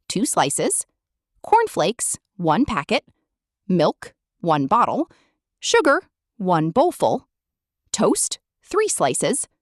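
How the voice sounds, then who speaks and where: A woman narrates a children's storybook with a very lively, swooping delivery. She takes her time and leans on the descriptive words. The sound is close and dry. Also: mid-range voice, no room sound. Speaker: storyteller reading a children's book aloud. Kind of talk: expressive scripted storybook narration.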